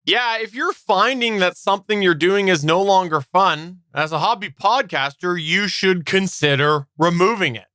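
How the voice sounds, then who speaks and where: A man's voice: high-pitched, normal speed, few pauses, bright, forceful tone, no room sound. A man co-hosting a podcast, explaining points in a structured, semi-scripted way. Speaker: podcast co-host.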